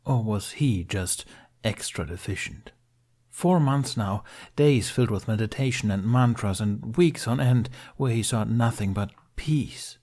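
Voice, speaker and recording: A man's voice: on the low side, unhurried, a little mellow. A man reading scripted fiction aloud as an audiobook narrator, telling a dramatic story.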